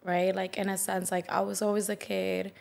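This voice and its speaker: A young woman from the Dominican Republic, a wellness coach talking as a podcast guest, quick and chatty with lots of "like.". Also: medium pitch, level tone, creaky, a little mellow. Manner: quick, chatty, many "like" fillers. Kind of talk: casual interview chat.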